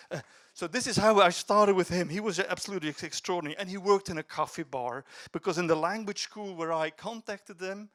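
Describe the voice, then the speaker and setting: A man's voice: fairly high pitch, fairly bright tone. Man telling a reflective story onstage. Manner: anecdotal, reflective, quotes dialogue animatedly. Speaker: speaker at a youth debate. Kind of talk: storytelling talk to an audience.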